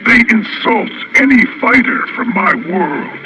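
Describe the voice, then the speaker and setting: A man playing a cold, detached Martian invader in a radio drama, speaking in a halting way. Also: high voice, very animated, poor audio. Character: Martian invader coldly observing and judging humankind. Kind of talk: slow radio drama monologue.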